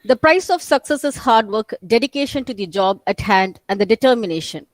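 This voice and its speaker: A woman anchors a formal academic event with polite, ceremonial phrasing. Her voice is slightly high and sounds dry and close to the mic. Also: expressive, brisk, no echo. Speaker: webinar anchor. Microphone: video call.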